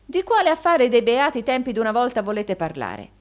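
A woman reader acts an impatient male moneyman from a storybook. He is cold and sardonic, and his dry, blunt delivery moves pointedly up and down in pitch. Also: big pitch swings, a little fast. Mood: blunt, pressing.

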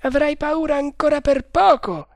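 A reader putting on a high, tense soldier gossiping. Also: moderate pace, dry recording. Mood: conspiratorial.

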